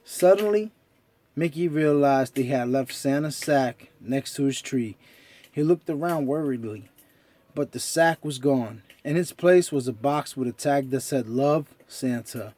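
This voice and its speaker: A man narrating a children's story as an expressive storyteller. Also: medium pitch, measured pace.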